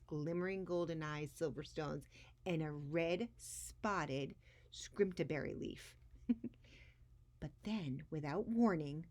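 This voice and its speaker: A woman's voice: sits low, a little mellow. A woman hosting a story time for young children, speaking expressively as she shares a picture book.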